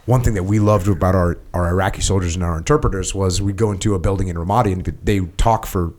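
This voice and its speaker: The low-pitched American man across the table on a long-form military podcast, steering the conversation. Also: fast, darkish tone. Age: middle-aged. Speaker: podcast host. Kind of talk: casual conversation, war reminiscing with some reading aloud.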